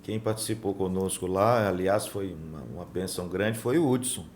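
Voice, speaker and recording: A man's voice: sits low, moderate pace, a little mellow, slight background noise. A Brazilian man, a pastor, hosting a casual interview and asking questions. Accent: Brazilian Portuguese. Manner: brief supportive interjections, asks questions. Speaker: pastor, host.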